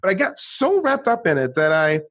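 A man's voice: high voice, moderate pace. A middle-aged man from Sweden, an author and entrepreneur, telling his story as a guest in a conversational interview, restarting sentences as he goes. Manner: animated storytelling, frequent restarts.

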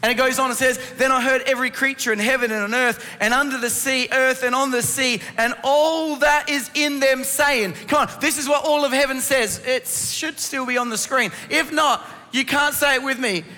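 Male preacher, laryngitis-strained but bright and forceful, addressing a congregation in a long-reverb hall. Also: very high voice, fairly even intonation, normal speed, few pauses, faint music behind. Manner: rhetorical questions, instructive, addressing the congregation directly. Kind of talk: Christmas sermon to a congregation. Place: church hall.

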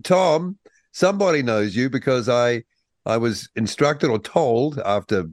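A man's voice: medium pitch, animated, unhurried, no echo, clean studio sound. A man hosting a radio talk show, jokey and playful, who acts out other people's voices when he tells a story. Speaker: radio host.

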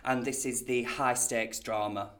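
A man's voice: medium pitch, fairly even intonation, measured pace, a bit bright. A man who performs as a pantomime dame, coaching casually in a playful, encouraging and instructive way.

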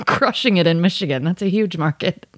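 A woman's voice: lowish voice, a little fast, no room sound. A woman hosting a casual interview, teasing her guest playfully and laughing easily. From United States. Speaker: interviewer host.

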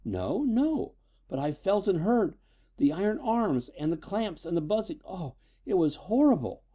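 A man voicing a frightened, high-strung but defiant young woman in a storybook reading, nervous and emotional. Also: sing-song, normal speed, mellow, husky. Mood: shaken.

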